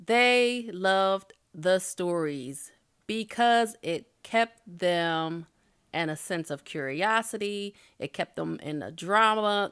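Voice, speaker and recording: A woman's voice: mid-range voice, fairly bright tone, dry recording, studio quality. A middle-aged woman giving YouTube tips in a casual, chatty way, with light laughs and loose restarts. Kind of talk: casual spoken tutorial.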